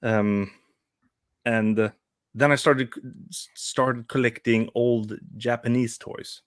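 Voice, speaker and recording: A man's voice: medium pitch, fairly even intonation, slow pace, no echo, studio quality. A man in his forties, a guest on a live stream, chatting casually with frequent restarts and hesitations. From Sweden. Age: 40s. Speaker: guest, toy customizer.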